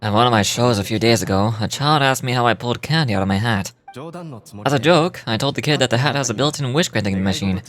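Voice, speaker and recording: A man's voice: mid-range voice, fast talker, a bit bright, natural voice. A man voicing a kindly, modest stage magician from a game, reading his diary in a reflective way and occasionally stumbling over words. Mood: rueful, amused.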